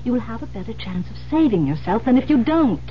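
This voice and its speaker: Through a dull, faintly humming old radio recording comes a firm female investigator. She is persuasive and insistent, with deliberate emphasis and a widely moving mid-range pitch, in classic radio drama style. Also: big pitch swings. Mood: coaxing, firm. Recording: muffled vintage radio recording, humming hiss.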